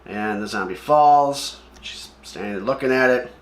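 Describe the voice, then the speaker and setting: A man's voice: medium pitch, a little slow. Guy doing casual comic read along commentary, kinda opinionated and offhand, roomy echo on it. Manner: describes panels offhand, opinionated. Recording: echoey room.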